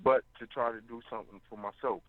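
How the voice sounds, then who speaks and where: A man in his twenties from the United States calling in to a talk show by phone, speaking haltingly and hesitantly as he asks for advice. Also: mid-range voice, fairly even intonation, dry recording. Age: 20s. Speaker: caller. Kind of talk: phone-in conversation seeking advice. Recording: tinny narrow phone line.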